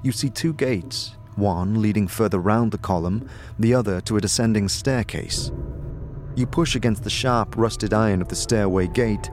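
A man's voice: on the low side, moderate pace, faint music behind. A man giving scripted, second-person story narration for a fantasy audio drama.

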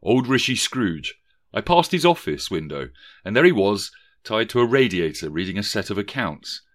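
A man's voice: on the low side, big pitch swings, moderate pace, fairly bright tone. A man voicing an ordinary, chatty husband and father telling news in a matter-of-fact way.

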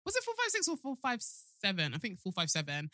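A woman's voice: medium pitch, wildly swooping pitch, quick pace, bright, forceful tone, dry recording, clean studio sound. A young woman of Yoruba background co-hosting a casual podcast chat, emphatic and talking straight to listeners. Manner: chatty, emphatic, addresses listeners directly. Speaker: podcast co-host.

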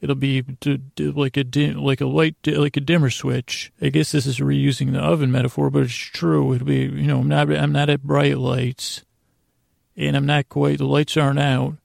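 A man hosting a sleep podcast in a rambling bedtime monologue, soothing and lulling, halting and repeating his words, with a gravelly voice. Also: mid-range voice, little pitch movement, a little mellow, dry recording, clean studio sound. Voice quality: gravelly, creaky.

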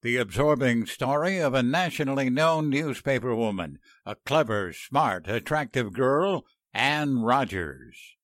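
A man announcing in a dramatic 1940s radio style with deliberate emphasis. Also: mid-range voice, a bit bright, no echo, studio quality.

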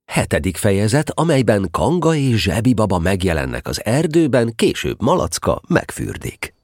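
A man's voice: fairly low pitch, very animated, a little mellow, no echo, clean studio sound. A man narrating a children's story in a scripted audio drama style.

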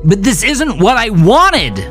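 Horror drama victim, played by a male narrator: anxious, helpless man, raised pitch, tearful pleading, music behind. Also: very animated, slow, a bit bright. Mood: desperate pleading. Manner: pleading, distressed. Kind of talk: horror audio drama character reading.

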